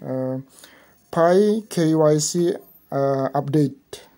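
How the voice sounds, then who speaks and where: A woman's voice: rumbling low, steady tone, drawn-out words, mellow. A woman with an Indonesian accent presenting an informal tutorial, explaining step by step in a hesitant way. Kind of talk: informal screen tutorial narration.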